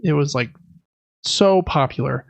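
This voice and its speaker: Gravelly, higher-voiced man hosting a podcast. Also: measured pace, no room sound. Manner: enthusiastic, colloquial. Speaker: podcast host. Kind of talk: casual gaming podcast chat.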